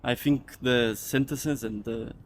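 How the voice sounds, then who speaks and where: A man's voice: mid-range voice, fairly even intonation, takes it slowly, slight background noise. A man from Portugal with a Portuguese accent, a native Portuguese speaker, answering a street interview outdoors. He hesitates often and restarts his sentences. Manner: hesitant, repeats words and restarts.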